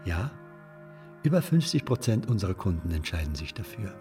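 An obliging male funeral director, low and mellow, with lively swings in his formal sales talk. He sounds slightly away from the mic, in a radio play. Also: moderate pace, far from the mic, soft background music. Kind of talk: radio drama dialogue.

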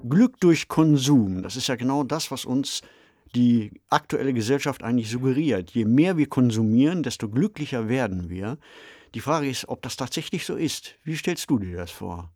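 A man's voice: medium pitch, lively intonation, moderate pace, mellow, no room sound. Reflective mature man, dry studio sound. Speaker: podcast discussion participant, novelist. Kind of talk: reflective discussion.

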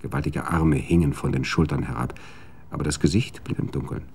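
A man narrating a radio drama in a measured, sober storytelling manner. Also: rumbling low, fairly even intonation, vocal fry, a little mellow, slight background noise.

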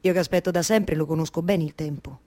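A woman's voice: low voice, quick pace, dark tone. An actress playing a melancholy, wry waiting woman in a theatrical monologue, with a Roman Italian accent and repetitive, colloquial storytelling. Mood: wistful, intimate. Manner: incantatory repetition, shifting from declaiming to intimate colloquial storytelling.

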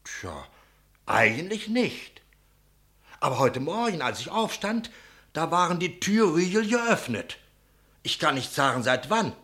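A man's voice: a little high, big pitch swings, measured pace, pauses often, sharp tone, exaggerated voice. An actor playing an anxious, talkative innkeeper in a German radio play, telling his account dramatically and deferentially. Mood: uneasy, explaining. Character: anxious, talkative male innkeeper giving testimony.

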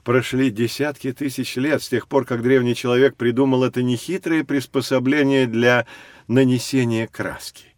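Man as a patient know-it-all guide explaining stuff to kids, storyteller style, mid pitch, very dry recording. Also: steady tone, normal speed, dry recording, natural voice. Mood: patient, informative. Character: patient, knowledgeable male guide teaching two children. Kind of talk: scripted educational narration for children.

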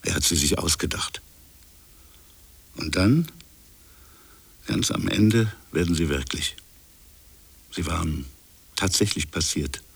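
A brooding colonial official in an introspective radio play. His voice is very low and creaky, with a husky grain. He muses haltingly, in broken phrases, as if searching his memory. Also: no room sound, heavily acted. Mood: musing, doubtful. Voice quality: gravelly, husky. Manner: halting, musing, fragmented phrases. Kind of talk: introspective radio drama monologue.

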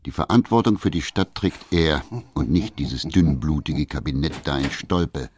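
A male narrator reading a radio drama in a measured, sober way. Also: extremely low pitch, heavy background noise. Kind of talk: scripted narration.

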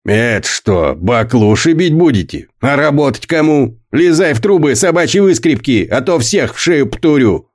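A man's voice: mid-range voice, big pitch swings, normal speed, hardly any pauses, voice pushed up. A man voicing a coarse, brutal middle-aged foreman who bullies and barks at boys, read as part of an audiobook. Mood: bullying. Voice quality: coarse, bullying, barking. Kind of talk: audiobook character voice.

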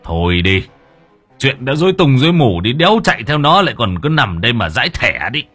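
A man voicing a stern older village chief who barks orders in a gruff, commanding way. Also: medium pitch, sing-song, brisk, fairly bright tone, soft background music, pitched above the performer's own voice. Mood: scolding.

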